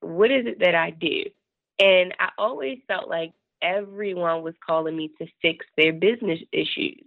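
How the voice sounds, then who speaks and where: A woman in her thirties, raspy from a cold, chatting easily as a podcast guest and laughing often. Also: fairly low pitch, moderate pace, no room sound. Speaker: podcast guest, business consultant. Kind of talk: casual interview talk. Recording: tinny phone-line sound.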